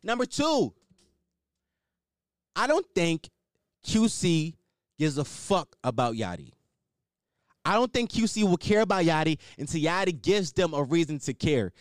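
A young man hosting a solo podcast, blunt and opinionated, swearing and riffing off the cuff, with a higher-pitched voice. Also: very animated, measured pace, long pauses, no room sound, clean studio sound. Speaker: podcast host.

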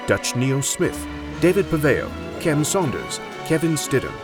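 A man's voice: fairly high pitch, very animated, unhurried, over music. A man reading scripted credits as a radio drama announcer.

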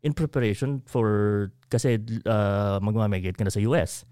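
A man's voice: lowish voice, moderate pace, mellow, clear, resonant tone, dry recording, clean studio sound. A man from the Philippines with a Filipino accent, guest in a casual interview, telling a story in Tagalog mixed with English and acting out quoted voices. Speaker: guest, media company founder.

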